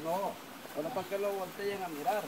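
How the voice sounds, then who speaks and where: A man's voice: sits high, fairly even intonation, a little fast, low-quality recording. A man telling stories casually among friends outdoors, slipping into quoted dialogue. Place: outdoors in woodland. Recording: outdoor group chatter behind.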